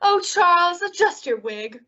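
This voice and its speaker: A woman's voice: extremely high pitch, big pitch swings, unhurried, sharp tone, highs cut off, exaggerated voice. A woman playing a picky, haughty sweetheart in a melodramatic 1950s-style radio commercial. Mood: dismissive.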